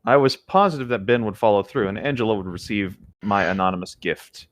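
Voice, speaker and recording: A man's voice: on the low side, a little fast. A man co-hosting a read-along show, moving between casual chat and reading a story aloud.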